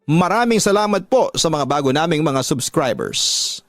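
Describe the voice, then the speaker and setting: Filipino man, slightly high voice, earnest dramatic radio narration, measured delivery, dry recording, soft music behind. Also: lively intonation, no room sound. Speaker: radio drama host and narrator reading listeners' letters. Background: background music.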